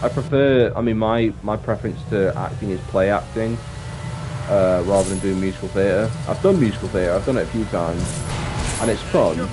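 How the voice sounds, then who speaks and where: A man with a deep voice chatting casually on a livestream, rambling reflectively and often restating his phrases. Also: normal speed. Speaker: streamer and actor. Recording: music playing behind the voice. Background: clear background music.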